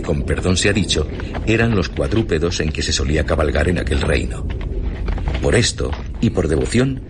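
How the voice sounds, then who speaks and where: Very deep male storyteller, Castilian accent. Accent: Castilian Spanish. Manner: measured, storytelling delivery. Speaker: narrator. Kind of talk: scripted literary narration for radio drama. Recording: clear ambient noise behind the voice.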